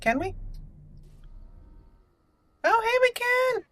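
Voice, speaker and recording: Unhurried, playful woman gamer. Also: extremely high pitch, big pitch swings, fairly bright tone, slight background noise. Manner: chatty, playful, thinking aloud. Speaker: game roleplay channel host. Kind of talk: casual let's play commentary with roleplay.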